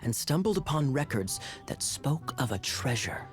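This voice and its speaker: An actor playing a suave young man in an anime game, telling grandiose tall tales in a smooth, mock-earnest way. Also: medium pitch, sing-song, normal speed, lightly acted. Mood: dramatic storytelling. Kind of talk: anime video game voice acting. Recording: music behind.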